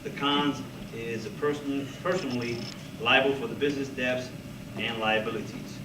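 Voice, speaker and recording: Man, halting informal presenter, student puppet show, distant mic, background noise. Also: medium pitch, fairly even intonation. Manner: halting, loses his thread. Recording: echoey room, distant mic, noisy.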